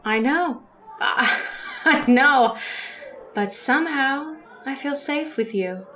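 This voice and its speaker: A woman acting in an old time radio drama, playing a seemingly soft young woman who is secretly a cold killer. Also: high-pitched, expressive, takes it slowly, a little hiss or hum, heavily acted. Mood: wistful, uneasy.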